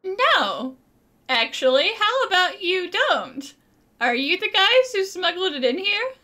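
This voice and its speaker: High, bright female gamer with animated delivery. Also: slow. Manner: sarcastic, joking. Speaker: gaming channel host. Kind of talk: playful gameplay commentary, improvised character dialogue.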